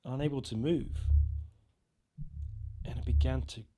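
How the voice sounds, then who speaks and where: A man narrating a first-person horror story in an expressive, dramatised way with halting pauses. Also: mid-range voice, slightly rough. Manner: expressive, halting, acts out reactions.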